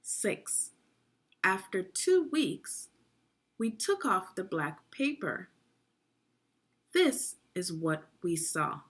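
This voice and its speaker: A woman's voice: medium pitch, sing-song, very slow, pauses often, a bit bright, husky. A woman leading a guided read-aloud of a children's science book, teaching and prompting young listeners with questions.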